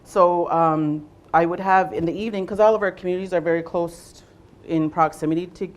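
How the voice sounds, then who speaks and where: A young adult woman from Southwestern Ontario leading a workshop, speaking loudly to a room without a microphone and often pausing on "um" and "uh". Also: lowish voice, steady tone. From Southwestern Ontario, Canada. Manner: loud voice, unamplified, frequent ums and uhs. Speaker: workshop facilitator, nurse navigator. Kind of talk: presentation to a workshop audience.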